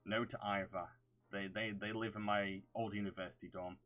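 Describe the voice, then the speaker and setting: A young man with a fairly low voice reads out his viewers' questions and answers them off the cuff. His delivery is loose and conversational, with plenty of fillers and restarts. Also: little pitch movement, normal speed, a bit bright, lo-fi sound. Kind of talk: casual live Q&A.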